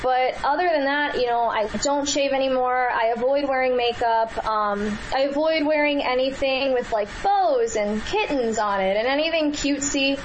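A woman with a high-pitched voice, a radio interview guest who answers earnestly, with many fillers and restarts. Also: normal speed, few pauses, slight background noise, rough recording.

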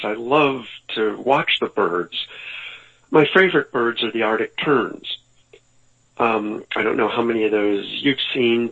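Guy on a phone call, gravelly husky voice, slow halting answers, kinda frustrated. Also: on the low side. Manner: earnest, frustrated, halting with ums. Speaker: interview guest. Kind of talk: conversational interview answers. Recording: tinny phone line.

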